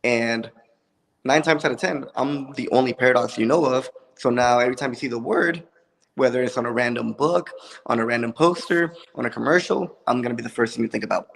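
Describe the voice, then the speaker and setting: A young man from Puerto Rico with a lisp, a wrestler answering interview questions in a relaxed, joking way with many ums. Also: medium pitch, fairly quick, creaky. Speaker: wrestler, guest. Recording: long reverb.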